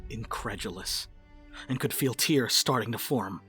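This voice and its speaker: A woman's voice: rumbling low, a little slow, a bit bright, breathy edge, faint music behind. A woman reading scripted narration and stage directions for a dramatic reading.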